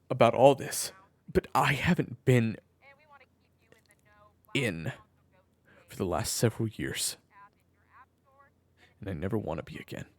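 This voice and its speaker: A man's voice: medium pitch, fairly bright tone, exaggerated voice. A man playing a weary, protective family man with a criminal past in an audio drama, both narrating and speaking in a hesitant way. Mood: tense, frustrated. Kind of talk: audio roleplay acting with first-person narration.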